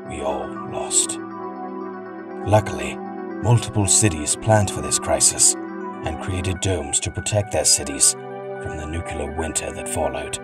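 A man giving dramatic scripted storytelling narration, as the narrator of an audio drama. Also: rumbling low. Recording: loud music behind.

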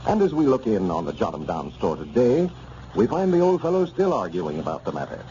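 A man's voice: lowish voice, very animated, brisk, warm tone. A man narrating as the announcer of a 1940s radio show in scripted style. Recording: muffled, noisy old radio recording.

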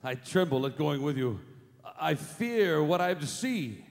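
Pleading, frightened old miser, acted by a man: high, creaky, radio play in a reverberant hall. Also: big pitch swings. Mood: fearful. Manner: anxious, halting, imploring. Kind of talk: staged radio drama reading. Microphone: stage mic on stand.

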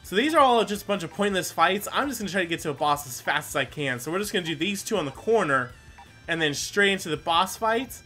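A man's voice: high voice, animated, quick pace, bright, forceful tone, faint music behind. A man giving casual, rambling gameplay commentary as the host, self-deprecating and given to mild swearing. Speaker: gaming video host.

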